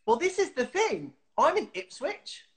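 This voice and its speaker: A man's voice: very high voice, wildly swooping pitch, measured pace, very noisy. Guy in his 30s hosting a video call chat from home, giggly, lots of um and like. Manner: chatty and giggly, lots of fillers and restarts. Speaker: host. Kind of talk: casual video-call interview. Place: small room indoors at home. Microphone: webcam on a video call.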